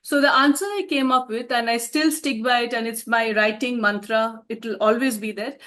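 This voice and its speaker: Lady in her 50s chatting about her writing, bit high voice, thoughtful, laughs a lot. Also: steady tone, moderate pace, fairly bright tone. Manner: reflective, laughs often, restarts phrases. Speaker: author being interviewed. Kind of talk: conversational interview answers.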